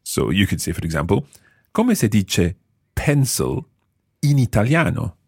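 Man, teacher, deep voice, lively intonation, dry sound. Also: husky, dry recording. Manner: patient, lively, repeats phrases slowly. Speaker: language teacher and host. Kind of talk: explaining and quizzing co-hosts.

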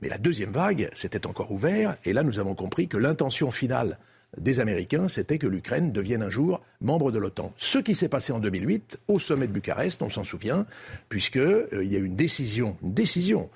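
An older man with a French accent from France, husky-voiced, recounting diplomatic history as a debate guest in a measured, didactic way with emphatic phrasing. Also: medium pitch, very animated.

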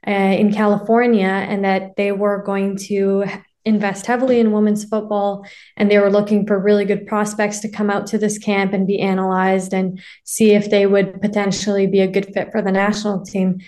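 Earnest woman, flat tone. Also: mid-range voice. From Canada. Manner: earnest, fillers like you know. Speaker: guest, footballer. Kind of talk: casual interview talk.

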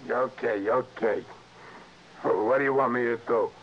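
An actor playing a stubborn, rattled small-time ex-convict in a 1960s radio drama, defensive and stammering, with rough streetwise grammar. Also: male voice, medium pitch, steady tone, unhurried, lightly acted. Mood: resigned. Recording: dull, noisy vintage radio recording.

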